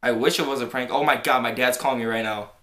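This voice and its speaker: Slangy young man, bright tone. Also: medium pitch, fairly even intonation, quick pace, slight background noise. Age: teenager or young adult. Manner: slangy, peppered with "like". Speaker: prank caller in a challenge video. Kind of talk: casual chat and prank phone calls. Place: indoors in a living room on a couch. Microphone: camera a short distance away.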